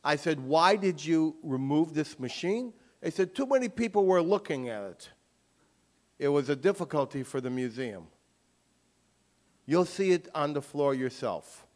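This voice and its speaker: A male author speaks to an audience in an emphatic, forceful way. His voice sits a little high for a man. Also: animated, a little slow. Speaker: author giving a talk. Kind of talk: lecture with audience questions.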